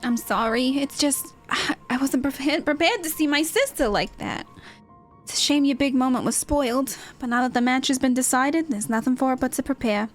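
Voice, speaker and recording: A woman's voice: high voice, moderate pace, soft background music, lightly acted. A woman voicing an earnest, supportive young female fighter's second in video game dialogue, sincere and subdued. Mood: apologetic, resigned.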